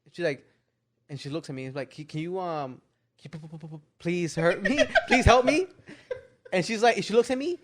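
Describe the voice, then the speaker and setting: A man's voice: high voice, expressive, brisk. A young man co-hosting a podcast, telling stories with a stutter and laughing often. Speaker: podcast co-host. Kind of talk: casual podcast chat, storytelling. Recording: noisy background.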